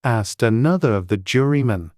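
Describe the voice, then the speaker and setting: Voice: mid-range voice, lively intonation, normal speed, darkish tone, no echo, studio quality. An audiobook narrator reading a classic tale in a dramatized storybook style.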